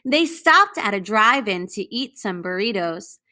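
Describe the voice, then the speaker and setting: Female teacher for children's read-aloud. Crisp, bright timbre, unhurried delivery, addresses young listeners directly. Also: medium pitch, sing-song. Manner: deliberate, pausing to question young listeners. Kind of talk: read-aloud storybook narration.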